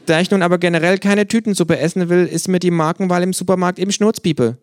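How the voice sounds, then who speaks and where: Male reader voicing a storybook kangaroo: cheeky, mocking, preachy, pitched up and loud. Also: fairly even intonation, quick pace, dry recording, natural voice. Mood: ranting. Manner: snappy, mocking, ranting. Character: cheeky know-it-all male kangaroo, ranting leftist.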